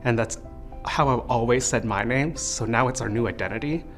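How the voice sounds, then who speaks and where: A man's voice: medium pitch, moderate pace, soft background music. A man answering interview questions about family and identity in a thoughtful, open way.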